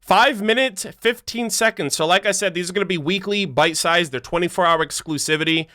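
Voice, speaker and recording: A man's voice: high-pitched, brisk, sharp tone. A man hosting a reaction video, giving casual, slangy commentary full of exclamations.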